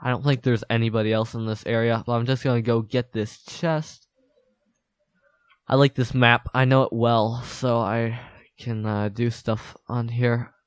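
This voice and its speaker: A man's voice: mid-range voice, steady tone, unhurried, clean tone, no echo, studio quality. Stuffed-up young man, playful game commentary. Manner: playful, boastful, chatty. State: stuffed-up nose. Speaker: gaming channel host. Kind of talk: casual gameplay commentary.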